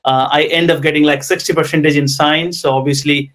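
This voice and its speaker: A man with a Nepali accent, a tech entrepreneur in his thirties, telling his life story in an interview with many pauses and fillers. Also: a little high, steady tone, fairly bright tone. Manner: hesitant, many ums and you knows.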